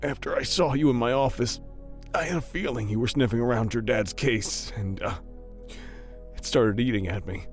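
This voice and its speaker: An actor playing a weary, resolute middle-aged police officer in an earnest video game drama. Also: male voice, mid-range voice, normal speed, soft background music, close to an everyday voice. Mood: regretful.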